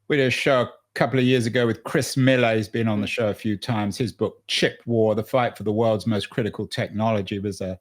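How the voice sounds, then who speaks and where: A male podcast host with a fairly low voice puts long questions to his guest. He gropes through the wording, repeating words and pausing as he goes. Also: fairly even intonation. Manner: halting, repeats words while framing questions. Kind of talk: interviewer asking questions.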